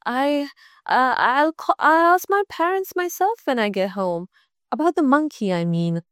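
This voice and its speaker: A woman's voice: sits high, animated, a little slow, fairly bright tone, no room sound, clean studio sound, pitched above the performer's own voice. A woman voicing a flustered schoolgirl who stammers while fibbing, in a read-aloud story.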